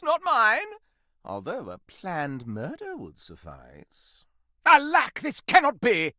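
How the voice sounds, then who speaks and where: A flamboyant gossipy candlestick for a game, super theatrical, pitch all over the place, bright and sharp. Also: male voice, no room sound, clean studio sound, full character voice. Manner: theatrical, mock-archaic, dramatic exclamations and coy asides. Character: flamboyant, gossipy living candelabra, theatrical and easily scandalized. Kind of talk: animated video game character acting.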